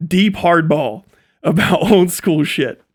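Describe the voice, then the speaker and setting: A man's voice: a little high, slow. A man giving off-the-cuff livestream commentary in a blunt, profane, sardonic way. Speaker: live streamer.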